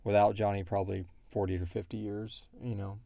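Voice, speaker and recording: Man, low relaxed voice, very dry close sound, casual rambling explainer of fictional lore. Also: fairly quick, vocal fry, dry recording. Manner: rambling, quotes characters in brief asides. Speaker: show creator answering listener questions.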